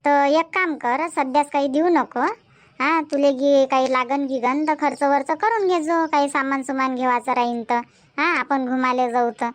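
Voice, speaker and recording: A woman's voice: sits high, steady tone, moderate pace, natural voice. A woman voicing a busy young village daughter-in-law and mother in a cartoon comedy, chatty, with a Varhadi Marathi accent. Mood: matter-of-fact. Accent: Varhadi Marathi from Vidarbha. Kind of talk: amateur cartoon comedy dubbing in village dialect.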